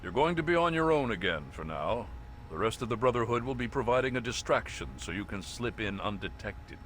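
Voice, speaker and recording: A man plays a stern, composed military officer in a video game. His medium-pitched voice is measured and authoritative, delivering a formal briefing over faint background noise. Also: expressive, a bit bright, natural voice. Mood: businesslike, urgent. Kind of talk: scripted mission briefing.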